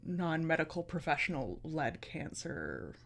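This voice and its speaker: Low-voiced woman interviewing on the radio. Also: big pitch swings, a little slow, vocal fry, a bit bright. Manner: friendly, asks questions with brief agreeing responses.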